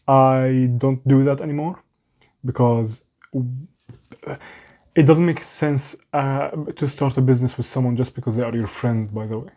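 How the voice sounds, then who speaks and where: A man's voice: medium pitch, warm tone. A young man with a non-native English accent, rambling candidly to the camera in a small room at home, often mocking himself and restarting sentences. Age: young adult. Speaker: vlogger.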